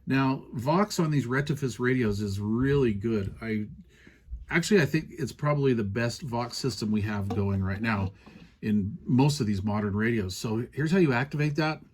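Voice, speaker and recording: A man's voice: medium pitch, animated, moderate pace, breathy edge. A man giving a casual hands-on product demonstration as a reviewer, explaining things in a conversational way.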